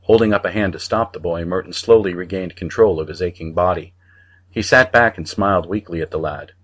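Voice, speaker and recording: A man narrating fiction from a script as an audiobook reader, in a measured storytelling manner. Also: sits low, fairly bright tone.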